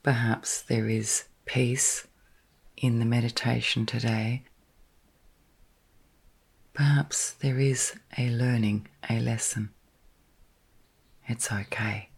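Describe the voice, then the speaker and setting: A woman leading a guided sleep meditation in a soft, breathy, partly whispered voice, gently soothing, with long pauses between short phrases. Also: a bass voice, fairly even intonation.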